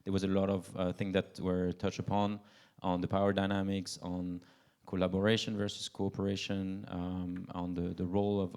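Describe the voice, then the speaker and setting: A man's voice: low voice, a little mellow. A man in his thirties moderating a conference panel on a handheld mic, hesitant with many fillers and restarts. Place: large conference hall.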